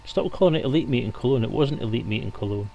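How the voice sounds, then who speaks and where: Male guest, fast talker, casual banter, slight noise behind the voice. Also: mid-range voice, expressive, vocal fry, dark tone. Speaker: guest on the stream.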